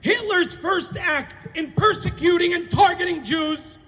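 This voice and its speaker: A man delivers a fiery, sarcastic rally speech and shouts his points. His voice is very high and bright. It rings out with a long outdoor reverb. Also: unhurried, faint music behind. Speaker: activist.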